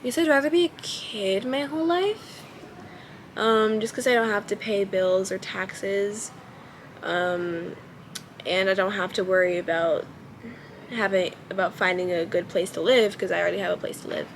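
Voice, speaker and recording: A woman's voice: medium pitch, normal speed, slight background noise. A young woman with a childlike voice, chatting casually to camera at home and thinking aloud with lots of ums. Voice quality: childlike, baby-ish voice. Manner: relaxed, hesitant, many ums while thinking aloud. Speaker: vlogger.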